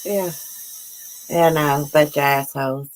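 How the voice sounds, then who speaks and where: A plainspoken woman with a Southern country accent and a notably low voice. She talks bluntly in casual chat and does not hold back on swearing. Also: steady tone, measured pace. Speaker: host of the show.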